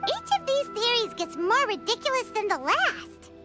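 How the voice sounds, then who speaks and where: A woman voicing a small, chatty, childlike fairy companion in a video game, squeaky and exclamatory. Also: very animated, normal speed. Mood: teasing, chatty. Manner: refers to self in third person, exclamatory. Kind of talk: video game character dialogue. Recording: music behind the voice.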